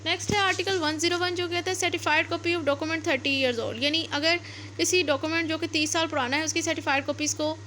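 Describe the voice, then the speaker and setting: A woman's voice: high-pitched, pushed and bright, slight background noise. A woman with a Pakistani accent giving an explanatory law lecture, reading clauses aloud and then explaining them repetitively, switching between two languages. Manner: repetitive, code-switching between English and Urdu.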